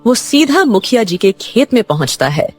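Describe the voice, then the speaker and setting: A woman's voice: on the high side, big pitch swings, normal speed, darkish tone, husky, faint music behind. A woman narrating a scripted cartoon moral story as a storyteller.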